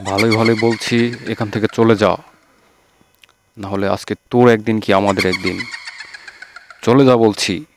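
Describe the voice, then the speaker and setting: A man's voice: lowish voice, fairly even intonation, measured pace, pauses often, noisy background. Man playing Rahim, an emphatic village friend. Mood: defiant. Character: young village man Rahim, anxious yet defiant friend. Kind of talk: children's fairy-tale cartoon acting.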